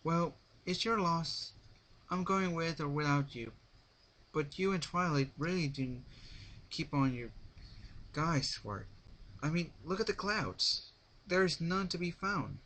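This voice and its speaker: A man's voice: on the high side, animated, slow pace, pauses often, pushed and bright, pitched above the performer's own voice, full character voice, poor audio. A man voicing a brash, casual young female cartoon pony in a story reading, with slangy, exclaiming delivery. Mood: upbeat, teasing.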